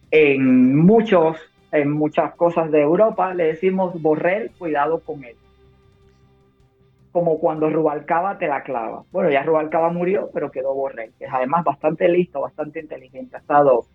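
A woman's voice: low voice, normal speed, soft background music. A woman from Cuba, a war correspondent and nurse, speaking emphatically and with urgency in long turns during a phone interview. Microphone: phone call. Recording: tinny phone line.

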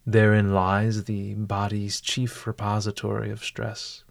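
Slow-speaking man guiding a meditation. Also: on the low side, completely flat delivery, gravelly, dry recording. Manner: formal, measured, eloquent phrasing. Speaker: meditation guide and host. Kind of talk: scripted guided meditation narration.

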